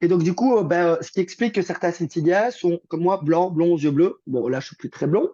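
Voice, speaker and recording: Young man, high voice, rambling guest, muffled lo-fi sound. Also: brisk, dark tone, no room sound. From Belgium. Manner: rambling with many fillers and restarts. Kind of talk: casual conversation.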